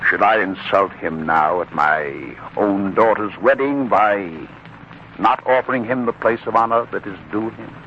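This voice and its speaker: An actor playing a proud, loyal, warm-hearted blacksmith father in a theatrical 1940s radio drama style. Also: on the low side, measured pace. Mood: proud, firm. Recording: muffled, noisy 1940s radio recording.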